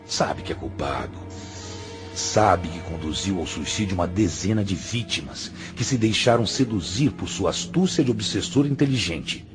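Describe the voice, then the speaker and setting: A man's voice: deep voice, lively intonation, normal speed. A man acting in a radio drama as a stern, patient spiritual master, speaking in a solemn, admonishing and didactic way. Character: stern, patient male spiritual master, grave moral counselor. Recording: music under the voice.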